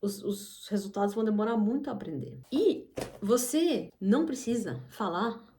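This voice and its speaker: A woman's voice: mid-range voice, animated, moderate pace, warm tone, a little hiss or hum. A woman, talking casually to camera as the host of her channel, rambling with many restarts and asides. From Brazil. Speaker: English teacher and channel host.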